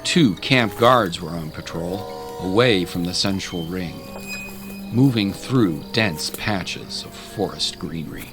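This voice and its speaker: A man's voice: low voice, lively intonation, takes it slowly. A man narrating a scripted dramatic story as an audiobook narrator. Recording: music under the voice.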